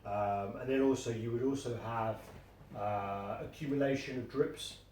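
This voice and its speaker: A man's voice: mid-range voice, a little slow, darkish tone. A man co-hosting a casual statue review, hesitant and full of fillers, thinking aloud. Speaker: co-host. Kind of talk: casual chat. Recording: echoey room, mic at a distance, faint noise.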